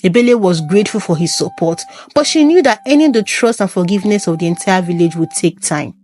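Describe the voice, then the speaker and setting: A woman's voice: lowish voice, lively intonation, a little fast, darkish tone, faint music behind. A woman reading a scripted folktale as its storyteller. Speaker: storyteller, narrator.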